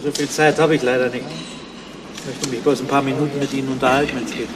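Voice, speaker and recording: An actor playing a courteous but persistent police detective questioning people in a German crime radio drama. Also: male voice, medium pitch, little pitch movement, fast, a loud crowd, natural voice. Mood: polite, probing. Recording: noisy outdoor ambience behind dialogue.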